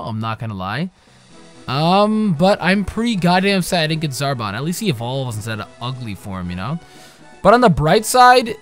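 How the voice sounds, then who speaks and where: A young man narrating a video game playthrough in a lively, swinging voice that sits a little high for a man. He jokes, swears and yells at the characters on screen, while game music plays softly underneath. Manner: loud, swearing, jokey trash talk with sudden yells. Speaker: gaming channel host. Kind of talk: casual let's play commentary.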